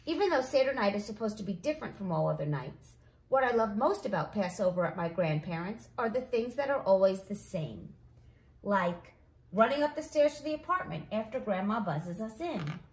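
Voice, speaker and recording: A woman reading a children's story aloud in an expressive storytelling style, acting out the dialogue. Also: medium pitch. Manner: animated, acts out dialogue. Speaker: read-along host, storyteller. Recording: echoey room.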